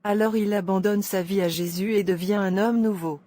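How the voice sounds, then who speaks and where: Soft-toned, mellow woman narrator. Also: mid-range voice, fairly even intonation, moderate pace. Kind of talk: scripted moral storytelling narration.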